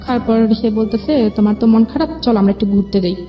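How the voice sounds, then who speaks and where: A woman's voice: fairly high pitch, fast. A young woman with a Bangladeshi accent tells her life story as an interview guest, in colloquial speech with reported dialogue. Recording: dull lo-fi sound with music underneath. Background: background music.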